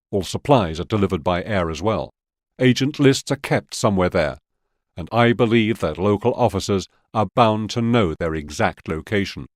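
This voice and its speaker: An actor playing a stern, authoritative Soviet military officer giving measured orders in a war video game. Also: male voice, fairly low pitch, darkish tone, no room sound, studio quality, close to an everyday voice. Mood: serious, instructive. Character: stern Soviet male military officer briefing his men.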